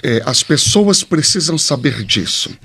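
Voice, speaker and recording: A man's voice: on the high side, lively intonation, measured pace, gravelly, a bit bright, breathy edge. A man with a Brazilian accent, a priest speaking conversationally as a podcast guest.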